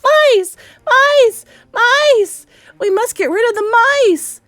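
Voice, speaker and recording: A woman voicing panicked diners, yelling in frantic alarm in a storybook reading. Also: very high-pitched, lively intonation, slow pace, no echo, pitched above the performer's own voice, exaggerated voice.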